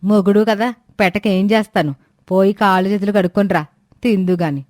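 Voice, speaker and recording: A woman voicing a greedy, sharp-tongued village wife who scolds with sarcasm in a fairy tale. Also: mid-range voice, a little fast, no room sound, studio quality, voice pushed down, close to an everyday voice. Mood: scornful. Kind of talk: acted dialogue in a moral fairy tale.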